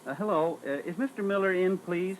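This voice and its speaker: An actor playing a timid, fumbling rookie insurance agent in a training role-play, hesitant and apologetic. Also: male voice, high-pitched, a little fast, lightly acted. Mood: hesitant, nervous. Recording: noisy old reel-to-reel tape.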